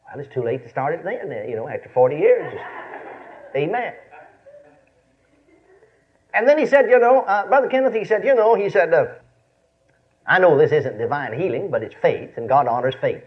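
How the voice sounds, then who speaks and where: A dull, lo-fi recording carries a Texan man in his fifties preaching. His voice sits a little high and moves with lively, animated pitch. He teaches like a folksy storyteller, repeating phrases to drive them home and checking that people are following. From Texas, United States. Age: 50s.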